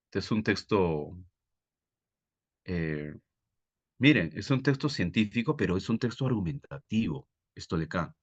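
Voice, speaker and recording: A man's voice: sits low, animated, a little slow, long pauses, muffled recording. A man with a Peruvian Spanish accent teaching a live online class, explaining didactically and often putting questions to his students. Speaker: teacher, exam-prep tutor. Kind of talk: live online reading-comprehension lesson.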